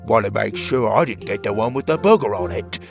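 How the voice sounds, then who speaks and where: Guy doing a wary storybook buddy, dry and matter of fact, low-ish voice. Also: lively intonation, a little fast, gravelly, over music. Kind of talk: storybook character voice.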